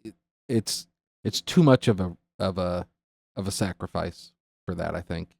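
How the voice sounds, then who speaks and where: A man's voice: deep voice, measured pace, creaky, darkish tone, no echo. An American man co-hosting a podcast, discussing casually and piecing his opinions together hesitantly in short phrases. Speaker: podcast co-host.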